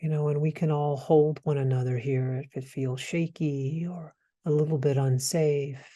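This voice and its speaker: A man leading a guided meditation, speaking gently in hesitant phrases with soft pauses. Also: a little high, steady tone.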